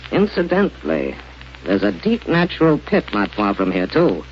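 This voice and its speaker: A man's voice: fairly low pitch, huge pitch swings, normal speed. An actor playing a scheming, scornful villain in a 1930s radio drama, bossing his partner around. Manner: bossy and scornful. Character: scheming villain space pirate, adult man, sneering. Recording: dull lo-fi old radio recording with hiss.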